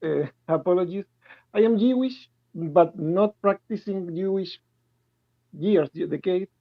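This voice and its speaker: A man from Chile with a Chilean Spanish accent, calling in to ask a question in halting English, full of restarts and nervous laughs. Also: high-pitched, dry recording. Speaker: caller. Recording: tinny phone-like call line.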